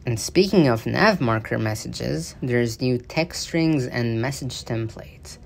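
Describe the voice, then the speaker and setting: A man talking casually over gameplay footage as a game news commentator, rambling and halting with frequent fillers and restarts. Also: medium pitch, gravelly.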